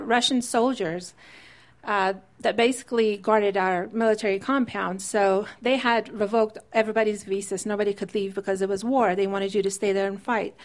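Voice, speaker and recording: A woman from Afghanistan telling a personal story on stage in a conversational, wry way with restarts. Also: medium pitch.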